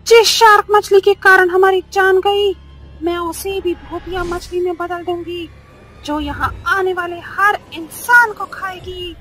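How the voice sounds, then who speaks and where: A woman's voice: extremely high pitch, steady tone, a little slow, sharp tone, full character voice, low-quality recording. An actress voicing a grieving, vengeful female sea witch in a horror cartoon, menacing and bitter. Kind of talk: Hindi horror cartoon voice acting.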